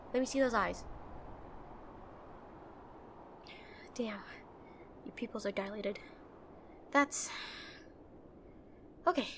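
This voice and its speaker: A woman playing a brusque, protective and frightened tsundere girlfriend in a roleplay audio drama, speaking urgently and haltingly straight to the listener. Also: on the high side, a bit bright, slight background noise. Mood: tense, urgent. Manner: bossy, urgent, emotional, halting.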